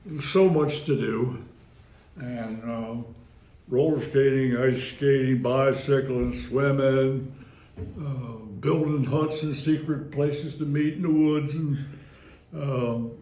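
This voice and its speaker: A man's voice: mid-range voice, fairly even intonation, takes it slowly, dark tone, roomy sound, low-quality recording. A man in his nineties from Maryland, reminiscing about his childhood in an oral history interview and wandering into digressions. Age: 90s. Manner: rambling, digressive, chuckles while recalling.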